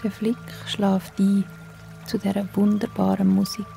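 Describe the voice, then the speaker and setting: A woman with a Swiss German accent, telling a bedtime story in a soft, hushed, soothing way. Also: medium pitch, level tone, measured pace, creaky, faint music behind.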